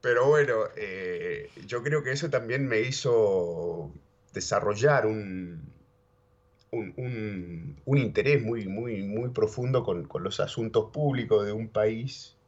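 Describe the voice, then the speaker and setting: Argentine guy, real deep voice, rambling storyteller, dull lo-fi sound. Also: slow. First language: Spanish and English, bilingual. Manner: rambling, repeats words, keeps saying ¿viste?. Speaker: interview guest. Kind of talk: casual storytelling chat. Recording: dull lo-fi audio.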